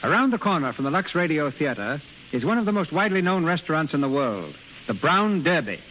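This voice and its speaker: A man's voice: a little high, very animated, fairly quick. A man hosting a 1930s radio show, reading scripted narration in formal, polished oratory. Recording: muffled, faintly hissy 1930s radio recording.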